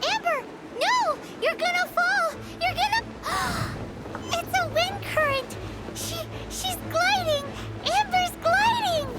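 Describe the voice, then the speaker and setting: A woman voicing a tiny, childlike female fairy companion in a video game, squeaky, cutesy, chatty and excitable. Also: slow, low-quality recording. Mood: alarmed. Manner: speaks of herself in third person, cutesy. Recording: loud music behind the voice.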